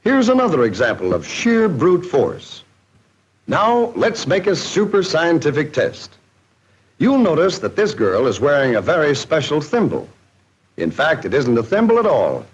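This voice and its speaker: American guy doing jokey 1940s promo film narration, really animated pitch, a bit husky, old dull soundtrack. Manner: jocular, folksy showman.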